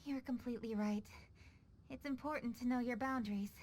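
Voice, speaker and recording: A woman playing an earnest, dutiful young noblewoman in an anime-style game, speaking in a measured, thoughtful and composed way. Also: on the high side, darkish tone, slight background noise, lightly acted. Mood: reflective. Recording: music under the voice.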